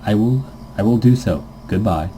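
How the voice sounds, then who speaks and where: A man's voice: fairly low pitch, moderate pace, dark tone, slight background noise, close to an everyday voice. A man voicing a formal, reserved male pro gamer in a visual novel, with stiff, precise and polite phrasing.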